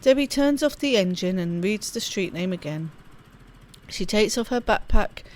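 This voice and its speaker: A woman reading a game's story text aloud in an expressive storybook style, with offhand asides as she plays. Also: medium pitch, normal speed. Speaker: let's play host. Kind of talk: reading game narration aloud.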